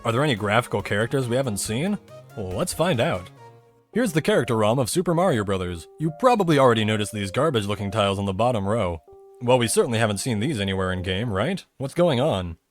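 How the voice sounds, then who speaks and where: A man's voice: lowish voice, animated, quick pace, faint music behind. A man narrating a scripted technical explainer in a conversational, methodical way, with casual asides.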